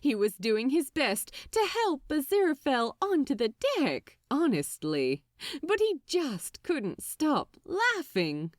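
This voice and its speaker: A woman reading fiction aloud as narrator, with dramatic, deliberate phrasing and an expressive storytelling style. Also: high voice, dry recording, clean studio sound.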